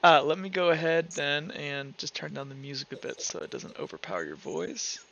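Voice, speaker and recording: Bright, higher-pitched man with a stammering delivery. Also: very animated, fairly quick, lo-fi sound. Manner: stammers, repeating words as he goes. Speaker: game master running an online tabletop roleplaying session. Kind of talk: casual game chat, hosting. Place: online voice call.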